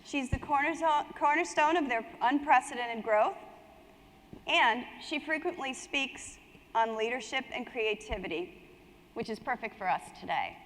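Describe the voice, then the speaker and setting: Female panel moderator, slightly high voice, live event delivery, recorded in a big echoing hall. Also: expressive, moderate pace, fairly bright tone, slight background noise. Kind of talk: hosting a live panel, partly reading introductions from a script. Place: indoor conference hall on stage. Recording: echoey hall, long reverb.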